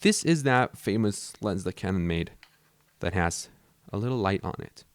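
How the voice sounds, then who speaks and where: A man's voice: fairly low pitch, lively intonation, moderate pace, no room sound. A young man from Mexico whose first language is Spanish, rambling casually about his camera gear with many fillers and restarts. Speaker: vlogger.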